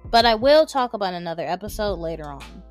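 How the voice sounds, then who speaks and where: A woman's voice: mid-range voice, animated, quick pace. Young woman doing a casual opinionated video rundown, emphatic little asides, some music under her. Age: young adult. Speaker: video essay narrator. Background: background music.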